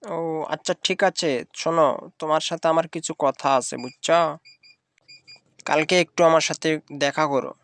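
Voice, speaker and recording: Young male cartoon suitor acted by a man: courteous, earnest village trader, slightly raised pitch. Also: fairly even intonation, moderate pace, pauses often, fairly bright tone, lightly acted. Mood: friendly. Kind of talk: cartoon dialogue.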